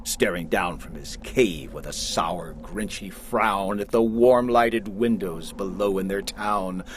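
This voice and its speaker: A man's voice: deep voice, slow pace, a little hiss or hum. A man narrating a children's story aloud in a theatrical, dramatic style, stretching words for emphasis.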